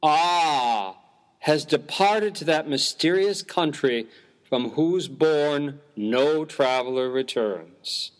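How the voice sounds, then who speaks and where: A man's voice: on the high side, expressive, slow. A man playing a prim, bookish, easily bewildered clergyman in a dramatized comic story, speaking formally and with pompous precision. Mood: solemn, relieved. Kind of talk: dramatized reading of a humorous story.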